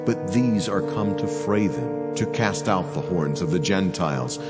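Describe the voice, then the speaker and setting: A man's voice: deep voice, animated, measured pace. A man voicing a solemn, commanding angel who declaims divine prophecy in a dramatized scripture reading. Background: music.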